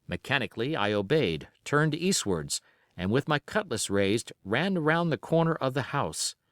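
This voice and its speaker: A man narrating an adventure novel as an audiobook, in an expressive, measured, dramatic storytelling style. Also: mid-range voice, no echo, studio quality.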